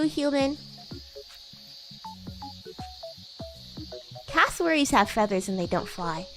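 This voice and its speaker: A woman's voice: high-pitched, a bit bright, heavily acted. A woman voicing a bratty, playful young demon schoolgirl in a girlish voice, chatting in character on a roleplay livestream. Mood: curious, casual. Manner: playful, giggly, teasing. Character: bratty young demon schoolgirl class president with baby wings. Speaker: VTuber streamer.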